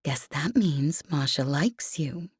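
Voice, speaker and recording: A cocky woman space pilot as an audiobook narrator plays her, low-voiced and sarcastic. She swaggers through her lines with brash, casual confidence in a space opera story. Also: animated, slow, slightly rough, dry recording, clean studio sound, exaggerated voice. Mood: smug, taunting.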